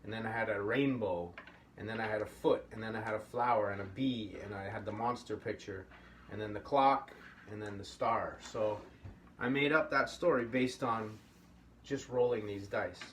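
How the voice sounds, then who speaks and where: A man's voice: on the low side, moderate pace, fairly bright tone. Easygoing middle-aged man teaching puppetry. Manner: easygoing, rambling, encouraging. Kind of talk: casual workshop lesson. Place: indoor studio room at a table. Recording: slightly distant mic, faint room hum.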